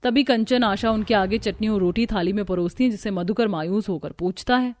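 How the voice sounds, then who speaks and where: A woman's voice: medium pitch, fairly quick, darkish tone. A woman narrator reading a scripted story.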